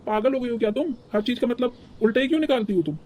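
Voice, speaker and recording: A woman's voice: a little high, expressive, fast talker, a little mellow. A woman playing an exasperated, hot-tempered young city husband in a cartoon, scolding and impatient. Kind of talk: cartoon story dialogue.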